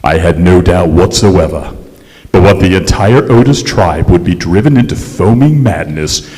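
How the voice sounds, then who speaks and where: An actor playing a vain, indignant centuries-old ghost, narrating in a pompous, melodramatic old-time radio style. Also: male voice, low-pitched, normal speed, a little mellow, lightly acted. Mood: vengeful, grandiose. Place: live stage in a courthouse museum. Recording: echoey hall stage recording.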